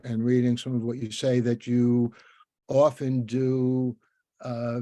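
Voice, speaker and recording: A man in his seventies hosting a conversational interview, speaking in a halting, thoughtful, rambling way with many restarts. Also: mid-range voice, warm tone, no echo. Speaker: interviewer and host.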